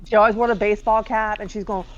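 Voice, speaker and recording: A woman with a fairly deep voice for a woman, chatting and telling stories over gameplay and laughing often. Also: level tone, quick pace, lo-fi sound. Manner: rambling storyteller, laughs often. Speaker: co-host. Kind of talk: casual chat over gameplay.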